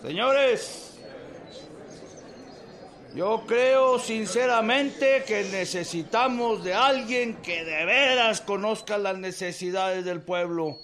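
An actor playing an earnest small-town political organizer who addresses villagers with deliberate oratory and a Mexican accent in a radio drama. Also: male voice, high voice, a bit bright. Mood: earnest, rousing.

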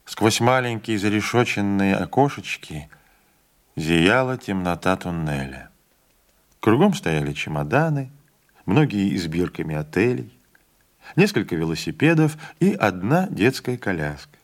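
Male audiobook reader, lower voice, measured classic narration. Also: very animated. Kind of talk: literary narration.

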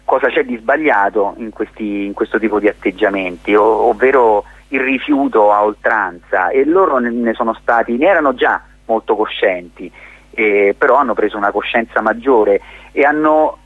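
A man's voice: fairly low pitch, moderate pace, slightly rough, dry recording. Casting: male theatre director as radio interviewee, explanatory and articulate with hesitations, dry, narrow phone-like sound. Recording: thin phone-like radio line.

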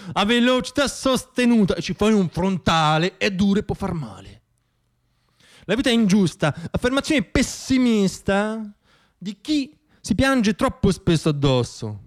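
Expressive man performing a theatrical monologue, higher-pitched. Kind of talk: theatrical monologue, poetic and wry.

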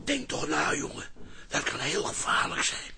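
An actor playing an excitable, boastful older father who turns cowardly, blustering and exclaiming in a radio comedy. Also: on the high side, moderate pace, creaky, very noisy, heavily acted. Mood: anxious. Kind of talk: Dutch radio comedy drama acting.

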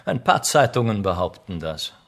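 Jaded aristocrat, lowish husky voice, urbane, languid, witty cynic, radio drama. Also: male voice, heavily acted. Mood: amused, detached. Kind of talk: German radio play acting.

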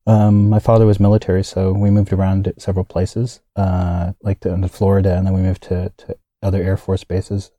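A man talks casually in an interview, wandering through his answers with plenty of "uh"s and restarts. His voice sits low, with a very dark, mellow tone. The sound is dry and close. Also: completely flat delivery, a little fast, dry recording. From United States. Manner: rambling, many fillers and restarts, laughs often. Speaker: guest.

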